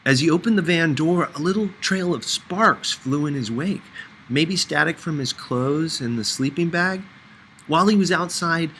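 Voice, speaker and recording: A man's voice: a little high, bright, forceful tone, slight background noise, poor audio. A man narrating a first-person novel as an audiobook reader, in a scripted storytelling style.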